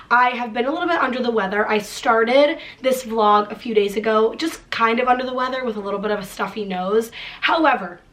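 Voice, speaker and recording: A young woman vlogging at home, chatting casually as she walks through her things, with plenty of filler "like.". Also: fairly high pitch. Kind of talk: casual chat, walking through items. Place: at home indoors.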